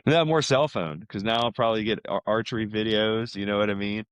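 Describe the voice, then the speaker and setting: A man's voice: lowish voice, a little fast, clean tone, no echo. A middle-aged American man chatting casually, telling stories in a rambling way. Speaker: podcast guest, salesman. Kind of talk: casual chat.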